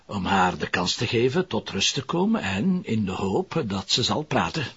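An actor with a Flemish accent playing a calm, stubborn police detective with a gravelly, husky voice in a TV drama. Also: male voice, fairly low pitch, heavily acted. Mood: calm, insistent. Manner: measured, insistent. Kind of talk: television crime drama acting. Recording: muffled lo-fi TV sound.

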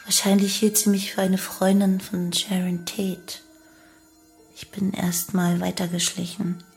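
An actress playing a young cult killer who confesses in a detached, matter-of-fact way in a radio play. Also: female voice, mid-range voice, level tone, a little slow, gravelly, darkish tone, soft background music. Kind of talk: radio play monologue in testimony form.

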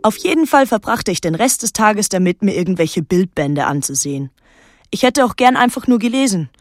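An actress playing a pensive, detached young woman, narrating and talking in a literary radio drama in a measured, reflective way. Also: medium pitch, very animated, dry recording, clean studio sound, natural voice. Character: pensive young woman recalling her island summer, detached, introspective. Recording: music under the voice. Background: music.

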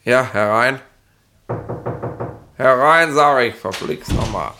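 An actor playing a gruff, pedantic, slow-witted police inspector in a 1960s radio comedy, folksy, grumbling and rambling. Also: male voice, mid-range voice, sharp tone, a little hiss or hum. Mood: grumpy, irritated. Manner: folksy, grumbling, officious, rambling with ers and tag questions.

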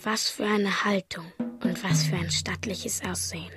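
A woman playing a wondering young village boy in a radio play, speaking in an intimate, hushed way. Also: mid-range voice, steady tone, measured pace, natural voice. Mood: hushed, pensive. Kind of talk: radio play acting, inner voice. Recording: studio with faint music behind.

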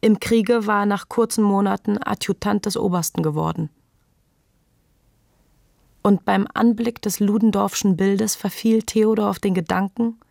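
A literary narrator with a noticeably creaky, gravelly voice. The reading is measured and articulate, recorded dry and close. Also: medium pitch, darkish tone, no room sound. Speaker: radio play narrator.

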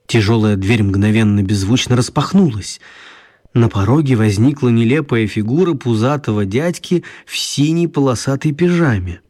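A man's voice: medium pitch, normal speed. A man narrating a children's radio play. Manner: even, storytelling. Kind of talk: scripted storybook narration.

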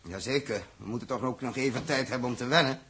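A man's voice: medium pitch, fast, fairly bright tone, close to an everyday voice. A man acting a blunt, principled spaceship commander in a 1960s Dutch science fiction radio drama. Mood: defiant, firm. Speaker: spaceship captain. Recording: noisy old radio drama recording, clicks.

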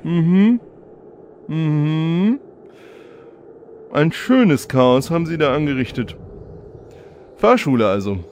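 A man's voice: fairly high pitch, expressive, slow pace, pauses often, a little mellow. An actor playing a dry, officious traffic policeman with formal, bureaucratic manners in a comic radio play.

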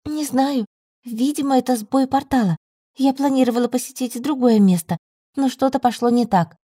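A young witch heroine, voiced by a woman narrator, sounds flustered and unsure. Her voice is mellow and slightly husky, with an informal, hesitant delivery suited to a fantasy audiobook. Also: high voice, moderate pace, no room sound, studio quality, pitched above the performer's own voice. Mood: confused.

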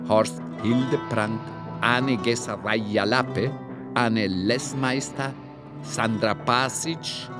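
Male announcer, scripted radio credits, lower pitch, unhurried pace, music behind. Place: studio.

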